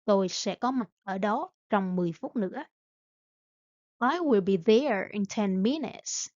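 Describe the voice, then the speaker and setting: A woman teaching English phrases, saying each one several times slowly and evenly, with short translations in between. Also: mid-range voice, animated, darkish tone, dry recording, highs cut off. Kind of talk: slow repeat-after-me phrase drills.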